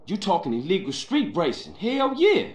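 A streetwise young gang member with dry, sarcastic comebacks. His voice sits slightly high and jumps around a great deal in pitch. It sounds roomy, with faint music underneath. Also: huge pitch swings, normal speed. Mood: wry.

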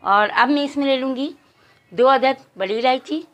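A woman's voice: high-pitched, moderate pace. A woman hosts a cooking channel. She walks viewers through a recipe step by step in her home kitchen, addressing them formally and counting out each measure aloud.

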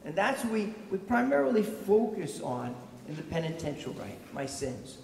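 A middle-aged male pastor with a raspy voice, giving a conversational sermon from a pulpit mic and asking the congregation questions. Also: fairly high pitch, sing-song, normal speed, a little mellow, recorded from a distance, slight background noise. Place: large church, at a pulpit. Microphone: stand mic at the pulpit. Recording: echoey church heard from afar.

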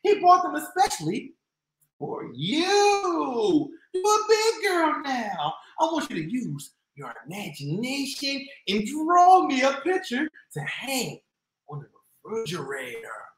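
A man voicing a patient, loving storybook mother who talks gently and reassuringly to her child. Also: very high voice, huge pitch swings, slow, background noise, exaggerated voice. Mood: reassuring, instructing. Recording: roomy indoor echo.

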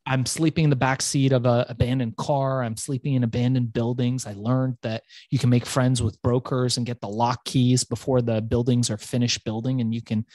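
A man's voice: medium pitch, level tone, normal speed, hardly any pauses, warm tone, no echo. Profane swaggering male storyteller, dry sound. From Iran. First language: Farsi. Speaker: entrepreneur guest. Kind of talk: storytelling interview.

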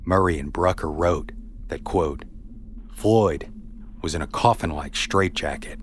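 Deep-voiced man narrating a somber true story. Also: unhurried, a little hiss or hum. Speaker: podcast host.

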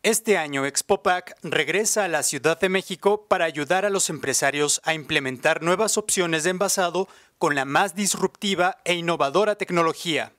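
Higher-voiced male voice-over narrator. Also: normal speed, a bit bright. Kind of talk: scripted narration.

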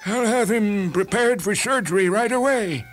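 A man's voice: high-pitched, moderate pace, lightly acted. A man playing an anxious, evasive surgeon with a hesitant, hedging manner in a radio story drama. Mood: nervously reassuring. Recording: music clearly behind.

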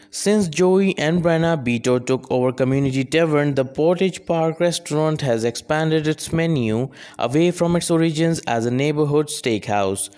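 Male voice-over reader, mid pitch, smooth and clear, reads a countdown list evenly. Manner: even, measured reading with odd phrasing. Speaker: narrator. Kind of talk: scripted list narration.

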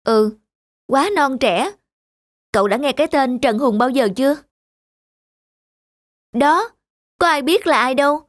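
A woman's voice: high-pitched, expressive, measured pace, pauses often, no echo, clean studio sound. Woman voicing a vain ambitious young actress. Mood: scornful. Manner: boastful, dismissive. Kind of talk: animated series dubbing. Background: background music.

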